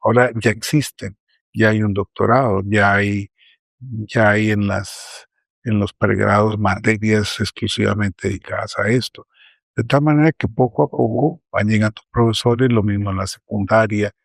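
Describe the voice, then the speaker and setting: A middle-aged man gives measured, earnest remarks as a panelist. His voice sits low with a gravelly edge. The recording is close and dry, with no room sound. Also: fairly even intonation, dry recording. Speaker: panelist, academic. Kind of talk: round table remarks.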